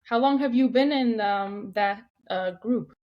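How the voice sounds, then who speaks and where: A woman interviewer speaking in a conversational way, feeling out her phrases with hesitant pauses. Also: mid-range voice, muffled recording.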